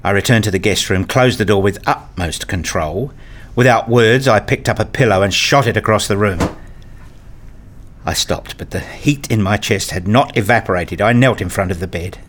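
A weary father played by a man tells his own story in a radio play. His voice is fairly low, and his delivery is measured and sombre. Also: a bit bright. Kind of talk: first-person radio play narration and acting.

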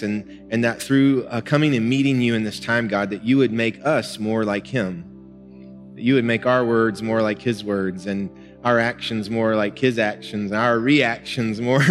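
A male pastor, preaching in a conversational, earnest way with small laughs. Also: mid-range voice. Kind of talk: sermon and prayer. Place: church sanctuary. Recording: music and long hall reverb.

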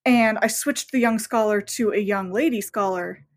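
A woman's voice: mid-range voice, normal speed. A woman novelist talking casually as an interview guest, enthusiastic and rambling, often voicing her own thoughts as asides.

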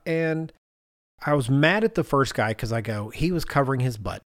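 A man's voice: mid-range voice, lively intonation, moderate pace, dry recording. Man chatting casually in a dry studio. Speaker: podcast co-host. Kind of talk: casual conversational storytelling.

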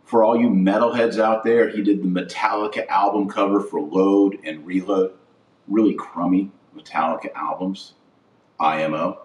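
A man's voice: sits low, fairly even intonation, normal speed, recorded from a distance. A man giving opinionated religious commentary as a podcast host, indignant and preachy, leaning hard on stressed words. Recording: roomy echo, voice off the mic.

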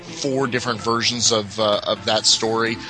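A man talking casually as an enthusiast podcast guest, rambling and haltingly backtracking as he goes. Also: lowish voice, fairly even intonation, music in the background, poor audio.

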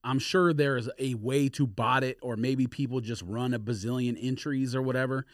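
A man chats on a podcast in an informal, explanatory way. His voice sits at a middle pitch, and the sound is close and free of echo. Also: fairly even intonation, moderate pace. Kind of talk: casual podcast chat.

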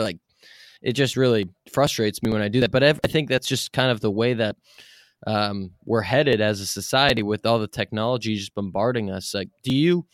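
A man's voice: mid-range voice, no room sound, clean studio sound. A man hosting an interview in a casual, informal, chatty way, peppering his talk with "like". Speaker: podcast host, interviewer.

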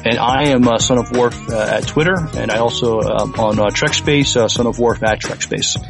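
A man's voice: medium pitch, moderate pace, gravelly. A man co-hosting a podcast in casual chat. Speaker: podcast co-host. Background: music.

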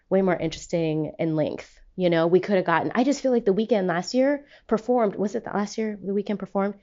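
A woman's voice: mid-range voice, warm tone, lo-fi sound. A young woman talking casually in a voicemail-style monologue, chatty and emphatic, with slangy, profane phrasing. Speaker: podcast host.

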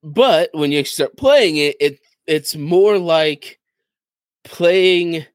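A man's voice: on the high side, drawn-out words, dry recording. Man explaining games, close dry sound. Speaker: podcast co-host. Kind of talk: casual gaming podcast chat.

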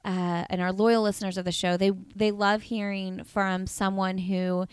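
A woman's voice: medium pitch, level tone, clear, resonant tone, no room sound, clean studio sound. A woman hosting a podcast, chatting and interviewing a friend in an enthusiastic, friendly way. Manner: chatty and enthusiastic, quick to laugh. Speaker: podcast host. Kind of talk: casual interview chat.

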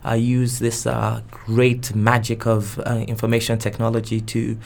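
A man's voice: mid-range voice, monotone, measured pace, gravelly, darkish tone. A man whose first language is Yoruba, answering interview questions thoughtfully with frequent restarts and repeated words. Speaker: guest expert.

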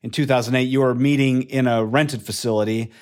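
A man's voice: medium pitch, steady tone, a little fast, a little mellow. A man hosting a casual interview, chatty and loose, with many fillers and restarts as he asks questions. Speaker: interview host.

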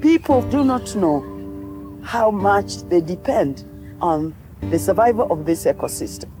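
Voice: medium pitch, lively intonation, a little slow, over music, natural voice. An actor playing a defiant forest defender in a radio play, speaking in solemn, resolute declarations. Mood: grave warning. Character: defiant forest defender ready to shed blood. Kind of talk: dramatic radio play monologue.

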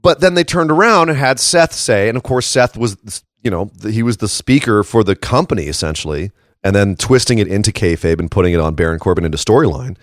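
A man with a slightly hoarse, froggy voice chatting casually and emphatically as a podcast host. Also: fairly low pitch, animated, fairly quick, dry recording, studio quality. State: tired froggy morning voice after a late shift. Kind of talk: casual wrestling commentary chat.

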